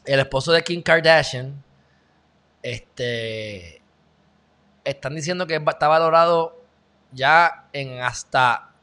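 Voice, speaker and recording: A young Puerto Rican man chats sarcastically through a live stream. His voice is bright, forceful and sits a little high for a man. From Puerto Rico. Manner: chatty, sarcastic, greets viewers by name. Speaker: channel host. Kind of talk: casual live-stream news chat.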